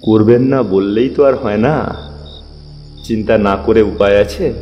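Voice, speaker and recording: A man narrating a dramatized first-person story in an expressive, measured storytelling manner. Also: fairly low pitch, lo-fi sound. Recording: long reverb, music bed.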